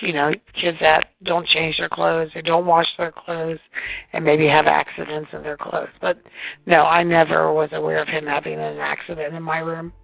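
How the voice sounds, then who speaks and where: An American woman, a teacher, answering questions informally and emphatically over the phone in a gravelly, forceful voice. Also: low-pitched, monotone, moderate pace, no echo. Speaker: teacher being interviewed. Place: on a telephone call. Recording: dull phone audio, faint music.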